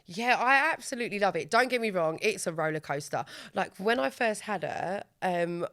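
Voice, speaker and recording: Chatty animated British woman. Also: mid-range voice, moderate pace. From England. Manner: chatty, animated, full of "like" and quoted asides. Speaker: podcast guest. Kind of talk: casual chat.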